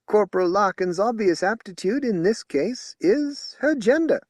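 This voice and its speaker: A man voicing an odd little male psychic who speaks in a thin voice with a rolling accent, measured and formal. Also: sits high, expressive, dry recording, clean studio sound, pitched above the performer's own voice.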